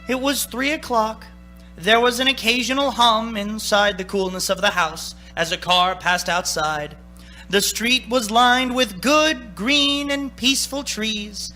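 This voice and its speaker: A man playing the narrator of a suspense radio play, telling the story in measured, dramatic phrases. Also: sits high, sharp tone, heavily acted.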